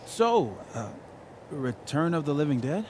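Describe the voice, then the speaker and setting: Earnest, expressive, cautious young man. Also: on the high side, a little slow, a little hiss or hum. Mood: puzzled, amused. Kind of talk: naturalistic video game acting.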